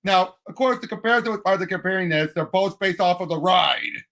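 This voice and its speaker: A man with a high, bright voice and a lot of gravelly creak. He talks as a podcast co-host in casual conversation, pressing his opinions with emphasis. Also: brisk. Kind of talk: casual film and game discussion.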